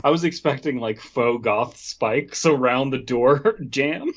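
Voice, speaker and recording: A slightly dull, lo-fi recording of a man with a somewhat husky voice. He chats casually as a comedy podcast co-host, rambling and riffing in a mid-range pitch. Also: takes it slowly.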